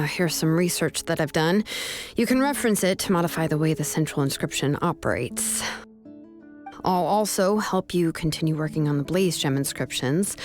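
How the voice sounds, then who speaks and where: A woman's voice: lowish voice, a little mellow, lightly acted. A woman playing a frank, businesslike young female craft expert in a video game, firm and matter-of-fact. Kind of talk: video game dialogue acting.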